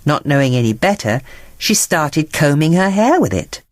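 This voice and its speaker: A woman narrating a bedtime story in an expressive, measured and dramatic way. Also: sits low. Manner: dramatic, measured, acts out characters.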